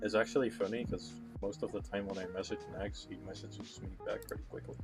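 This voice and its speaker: A man's voice: lowish voice, fairly even intonation, quick pace, soft background music. A man in his early twenties chatting casually as a podcast co-host. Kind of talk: casual group chat.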